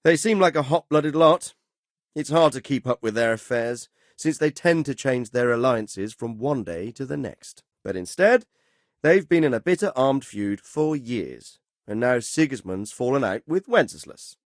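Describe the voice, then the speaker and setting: A man playing a measured, fatherly but stern middle-aged medieval lord in a video game, speaking formally and with authority. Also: medium pitch, lively intonation, no echo, studio quality, lightly acted. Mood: measured, explaining. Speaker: lord giving orders and counsel. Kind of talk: dramatic video game dialogue.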